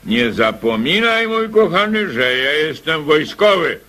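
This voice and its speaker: Blustering old colonel, wildly animated. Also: male voice, a little high, unhurried, heavily acted. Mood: proud, defiant. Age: older. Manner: blustering, bossy, old-fashioned exclamations. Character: blustering, proud old military colonel, an uncle. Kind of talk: radio drama acting.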